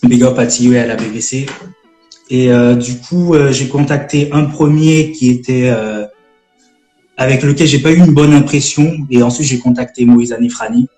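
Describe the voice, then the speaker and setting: A man in his twenties from French Guiana, a gospel rap artist chatting on a live stream, halting with many fillers and restarts. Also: mid-range voice, mellow, echoey room, soft background music. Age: 20s. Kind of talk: casual live-stream interview.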